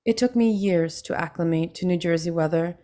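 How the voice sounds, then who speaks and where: A young woman from Trinidad reads from her own memoir in a lower voice, measured and earnest. It is a video call recording, and she goes on to answer panel questions in the same steady manner. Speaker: author reading and answering.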